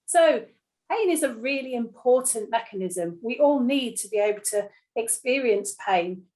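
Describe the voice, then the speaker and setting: Higher-voiced middle-aged woman explaining clearly. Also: expressive, moderate pace, darkish tone. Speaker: nurse and panellist explaining pain. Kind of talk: plain explanatory talk to a lay audience.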